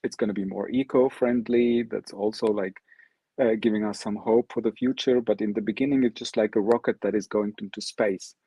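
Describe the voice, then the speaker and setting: A man whose first language is Arabic gives an online presentation as guest speaker, halting and often restarting his sentences. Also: fairly low pitch, steady tone, warm tone, breathy edge. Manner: halting, searching for words, frequent restarts.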